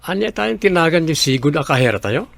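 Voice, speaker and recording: A man's voice: on the high side, animated, brisk, creaky, fairly bright tone, dry recording, full character voice. A man playing a friendly, joking restaurant boss in an Ilocano radio drama, with an Ilocano accent.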